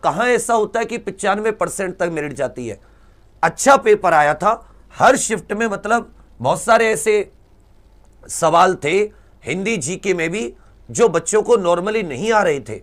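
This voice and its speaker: A man explaining exam results to students as a teacher, informally and emphatically, repeating points and asking rhetorical questions. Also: sits high. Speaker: exam-prep teacher and presenter.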